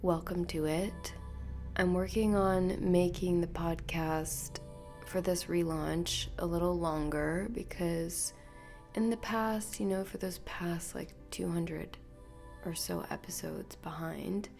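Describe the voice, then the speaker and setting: A woman hosting a solo podcast, rambling softly and half-whispering, her voice nasal and congested from illness. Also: on the low side, fairly even intonation, slow, vocal fry, faint music behind. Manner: soft, half-whispered, meandering. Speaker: podcast host. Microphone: podcast microphone.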